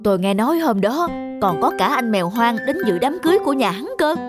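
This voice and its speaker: Scheming fairy-tale fox female performer, expressive high voice, slippery and coaxing. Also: fast talker, close to an everyday voice. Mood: falsely friendly. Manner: coaxing, crafty, feigned nonchalance. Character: sly, scheming hungry fox posing as friendly. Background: music.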